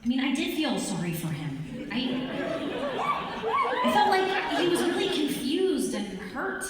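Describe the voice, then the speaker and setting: Protective, suspicious teen girl for animation; high, very animated delivery, live table read. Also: a little slow, a loud crowd. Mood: hurt, bitter. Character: fierce, protective, distrustful teenage girl in an animated adventure. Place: large reverberant convention hall. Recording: distant, very echoey hall, faint noise.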